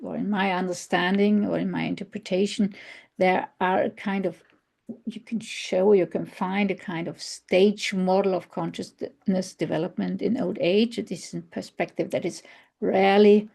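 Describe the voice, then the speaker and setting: A woman with a German accent, a non-native English speaker, giving an online conference presentation in a halting, thoughtful way, with many fillers and restarts. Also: mid-range voice, steady tone, vocal fry, a little mellow. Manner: thoughtful, searching for words. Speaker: researcher presenting.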